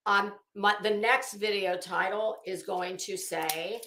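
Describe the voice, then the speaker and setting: A woman's voice: medium pitch, steady tone, slow, pushed and bright, a little hiss or hum. A woman giving casual, sarcastic commentary as a livestream host, with a theatrical, exasperated and mocking delivery. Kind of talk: casual commentary on a legal case, chatting with viewers. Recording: echoey room.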